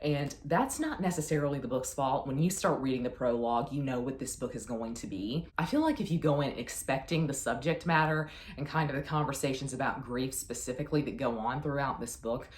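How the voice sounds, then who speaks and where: A woman with a strikingly low voice talks casually as a book reviewer. She is emphatic and opinionated, tossing in questions to herself, and the room around her adds a clear echo. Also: fairly quick, few pauses, a little mellow, a little hiss or hum. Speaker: book reviewer, video host. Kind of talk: casual book chat to camera. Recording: echoey, roomy sound.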